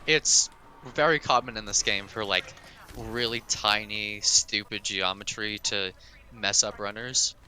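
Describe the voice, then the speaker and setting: A man's voice: on the low side, fairly even intonation, a little slow, pushed and bright. A man doing casual live commentary on a speedrun and coaching the player along.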